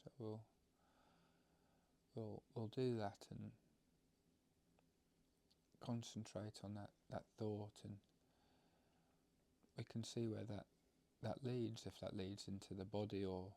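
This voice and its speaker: A man teaches meditation in a low, mellow voice that barely moves in pitch. He speaks very slowly and gently, feeling his way through unscripted, halting phrases with some gravel in the tone. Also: level tone. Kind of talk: guided meditation instruction.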